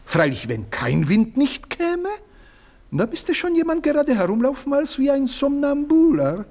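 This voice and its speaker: A man's voice: extremely high pitch, very animated, measured pace, a little mellow, full character voice. An actor playing a meddling, servile male house servant who speaks in long-winded, fawning phrases. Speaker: servant Theodor. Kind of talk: radio play acting.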